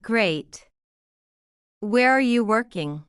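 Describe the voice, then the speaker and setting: Woman, lesson dialogue reader, crisp smooth voice, careful simple sentences, dry sound. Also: on the high side, lively intonation, very slow, a bit bright, dry recording. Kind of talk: slow scripted practice dialogue.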